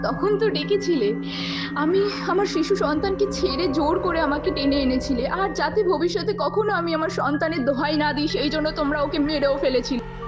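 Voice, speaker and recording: A woman's voice: high-pitched, moderate pace, lo-fi sound, close to an everyday voice. A woman playing the vengeful ghost of a wronged dancer in a dramatised horror story, taunting and accusing. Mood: taunting, bitter. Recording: loud music over the voice.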